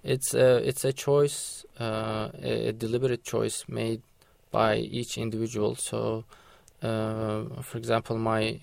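Male radio guest, gravelly voice, unhurried, halting delivery. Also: lowish voice, flat delivery, darkish tone, no echo. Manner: hesitant, full of ums. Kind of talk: call-in radio interview.

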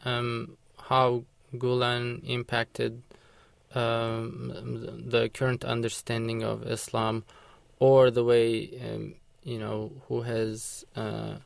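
A man's voice: mid-range voice, little pitch movement, slow, gravelly, no echo. A man answering a caller's question as a guest on a call-in radio show, hesitant and full of ums.

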